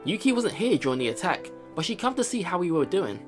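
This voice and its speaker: A man's voice: sits high, very animated, fast, music in the background. A man hosting a let's play, casually commenting and reading story text aloud, rambling and repeating himself as he thinks aloud. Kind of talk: casual game commentary mixed with reading visual novel narration aloud.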